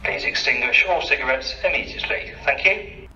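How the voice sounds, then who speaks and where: A man's voice: mid-range voice, very animated, normal speed, pushed and bright. A man giving formal, instructing scripted announcements over a station public address speaker. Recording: distant, dull, echoing station PA recording.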